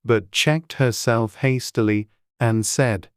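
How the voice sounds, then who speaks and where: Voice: mid-range voice, fairly even intonation, takes it slowly, clean tone, no echo, clean studio sound. A narrator reading a storybook aloud as scripted audiobook narration.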